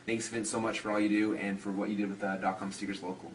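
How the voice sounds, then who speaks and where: A lower-voiced man gives an off-the-cuff customer testimonial. He talks quickly and enthusiastically, feeling for his phrases. The mic sounds distant. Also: flat delivery. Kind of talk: unscripted spoken testimonial. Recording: distant mic with clear background noise.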